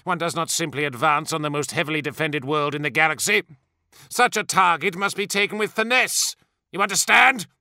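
A man's voice: fairly high pitch, a little fast, pushed and bright, no room sound, studio quality, voice pushed up. A man voicing a vain, imperious superhuman warlord in an audiobook, theatrical and wrathful, with spitting, snarling delivery. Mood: imperious fury. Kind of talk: dramatic audiobook character voice.

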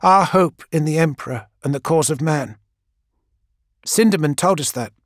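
Man playing an earnest, pleading young woman; higher, raised voice, dry audiobook sound. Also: moderate pace, no echo, lightly acted. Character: young woman wagon guide, earnest and tearful.

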